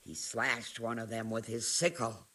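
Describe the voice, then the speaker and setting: Earthy, distraught medieval peasant wife. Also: female voice, a bass voice, little pitch movement, measured pace, fairly bright tone, heavily acted. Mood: bitter, distressed. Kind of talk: video game voice acting.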